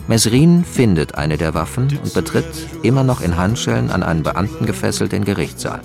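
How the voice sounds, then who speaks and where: Low-voiced male narrator over guitar music. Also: sing-song, fairly quick, gravelly. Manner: factual, announcing. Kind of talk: scripted documentary-style radio drama narration.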